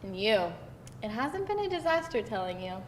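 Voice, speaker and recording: A woman's voice: sing-song, moderate pace. A woman playing a frank, sardonic twenty-eight-year-old career woman in a screenplay table read. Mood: rueful, frank. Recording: roomy echo, rumbly background noise.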